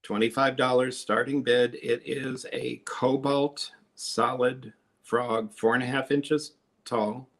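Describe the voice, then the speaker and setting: Gravelly man explaining glasswork. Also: mid-range voice, flat delivery, slow, a bit bright. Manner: chatty, explains techniques while showing pieces. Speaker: glass artist selling pieces on a live sale. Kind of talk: live online auction, casual demonstration.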